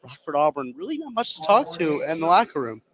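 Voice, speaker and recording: A man's voice: fairly high pitch, very animated, brisk, a bit bright, poor audio. A man calling live basketball play-by-play on the radio. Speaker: sports play-by-play announcer.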